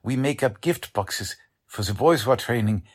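A man playing a humble, kindly immigrant baker in a radio drama, with a halting, gentle way of repeating himself. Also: mid-range voice, expressive, husky. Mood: humble, earnest. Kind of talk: old-time radio drama acting.

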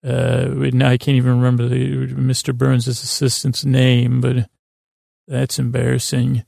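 A man with a creaky, gravelly voice hosting a sleep podcast in a meandering, halting monologue that keeps interrupting itself. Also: medium pitch, completely flat delivery, a little mellow, no room sound, studio quality. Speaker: podcast host.

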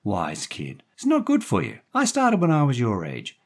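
A man's voice: medium pitch, wildly swooping pitch, normal speed, breathy edge, voice pushed up, heavily acted. A man voicing a brassy, coaxing young American woman, a gangster's moll with slangy talk, in a story reading. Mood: chatty, sweet. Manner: slangy, coaxing, calls people honey.

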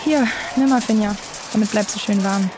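An actress playing a caring, practical young activist in a naturalistic audio drama, reassuring and casual in manner. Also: female voice, mid-range voice, normal speed, noisy background, close to an everyday voice.

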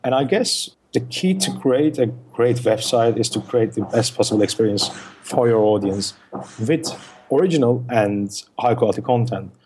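A man's voice: mid-range voice, lively intonation, moderate pace, a little mellow, slight background noise. A man, a guest on a podcast, explaining his work in lists with many fillers and restarts. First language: Turkish. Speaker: guest, search marketing consultant. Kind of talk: podcast interview answers.

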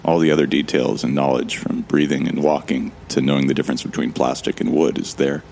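A bewildered man with no memory , voiced by a male actor, tells his own story in a sci-fi audio drama. His voice is extremely deep and full of gravelly fry, with a wry, musing delivery. Faint noise sits behind it. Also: flat delivery, fairly quick, a little mellow. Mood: wry, uneasy. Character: bewildered amnesiac man, wryly recounting his ordeal. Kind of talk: first-person scripted narration in a sci-fi audio drama.

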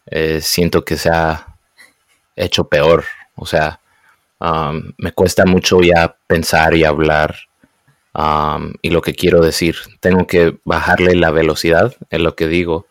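A young man raised in the United States, speaking casually and often pausing to search for words. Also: a bass voice, level tone, dry recording. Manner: hesitant, searches for words, mixes in English. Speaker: guest. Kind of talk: casual conversation.

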